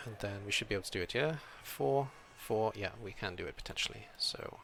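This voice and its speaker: A young British man from Wales with a slight European tilt to his accent, chatting casually while he streams a game, reading chat and thinking out loud. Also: fairly low pitch, brisk, vocal fry, slight background noise. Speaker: streamer playing a video game. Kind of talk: casual live-stream commentary.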